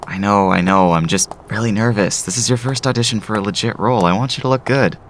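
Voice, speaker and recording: A man's voice: lowish voice, fast talker, a little hiss or hum, close to an everyday voice. A man playing a nervous, proud showbiz father in an audio drama, fussing and gushing eagerly.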